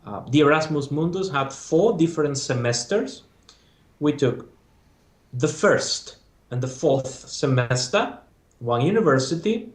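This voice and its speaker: Spanish-accented man in an echoey room. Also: fairly high pitch. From Spain. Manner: halting, searching for words. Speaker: master's graduate. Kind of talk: casual interview.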